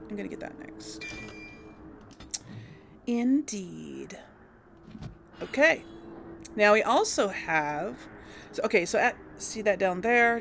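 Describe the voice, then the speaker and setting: A woman hosting a let's play, chatting casually about her game choices and thinking out loud with plenty of ums. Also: mid-range voice, vocal fry, slight background noise. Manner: chatty and unsure, thinking aloud with many ums. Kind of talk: casual gameplay commentary.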